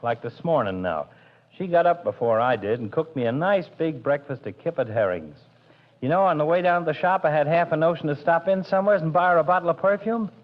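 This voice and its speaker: A man voicing a soft-hearted working-class barber who rambles on in plain, ungrammatical talk. The sound is a muffled old radio broadcast. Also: fairly high pitch, animated, a little fast, a little hiss or hum, lightly acted. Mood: folksy. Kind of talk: 1940s radio comedy acting.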